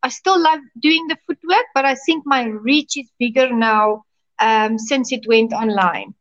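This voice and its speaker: High-voiced South African woman. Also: unhurried, fairly bright tone, highs cut off. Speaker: pastor and podcast guest. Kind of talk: casual interview chat.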